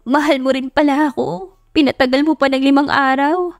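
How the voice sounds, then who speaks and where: A woman's voice: high voice, fairly even intonation, moderate pace, gravelly, no echo, studio quality, faint music behind, pitched above the performer's own voice. A woman voicing a feisty young woman in love who is emotional and disbelieving, in an audio love story. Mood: tearful disbelief. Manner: emotional, exclaiming, teasing.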